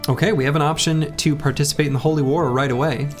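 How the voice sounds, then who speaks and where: A man streaming a game, chatting casually with viewers in a rambling way, his voice a little hoarse. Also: a little high, fairly even intonation, fast talker. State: slightly hoarse. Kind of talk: casual live-stream chat while playing. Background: faint game music.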